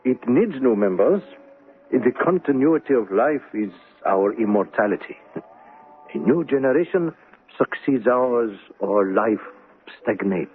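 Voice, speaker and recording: An actor playing an elderly, courteous, philosophical gentleman in a radio drama, speaking formally and deliberately. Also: medium pitch, lively intonation, narrow, tinny audio, close to an everyday voice. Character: elderly, philosophical Chinese gentleman, dry and courteous club member. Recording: old radio broadcast sound.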